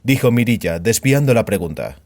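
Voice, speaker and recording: A man's voice: fairly low pitch, sing-song, brisk, a little mellow. A man narrating scripted audio fiction as a storyteller.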